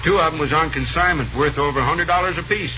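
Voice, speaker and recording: An actor playing a cranky, grumbling pet shop owner in 1950s American radio drama, with folksy, emphatic delivery. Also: male voice, a little high, quick pace, natural voice. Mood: indignant. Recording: noisy, muffled old radio recording.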